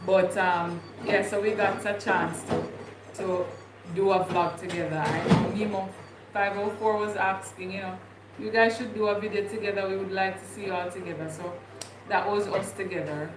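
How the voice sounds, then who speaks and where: A young woman with a Jamaican accent talks casually to her viewers, wandering from thought to thought with plenty of ums. Her voice sits at an ordinary middle pitch. The room is echoey, with a steady noise under her. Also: moderate pace. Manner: rambling, thinking aloud with many fillers. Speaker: vlogger. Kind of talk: casual livestream chat.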